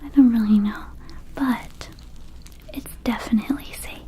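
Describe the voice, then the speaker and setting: A woman voicing a small, laid-back, slightly shady vampire dispensary keeper in an ASMR roleplay, whispering softly in a rambling, casual sales patter. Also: fairly high pitch, unhurried. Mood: dreamy, casual. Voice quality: soft, breathy whisper.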